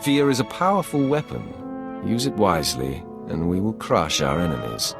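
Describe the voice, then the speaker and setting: An actor playing a stern, commanding, ruthless imperial warrior in a video game, speaking with measured authority. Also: male voice, low-pitched, very animated, creaky, full character voice. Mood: stern resolve. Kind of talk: scripted video game acting. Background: background music.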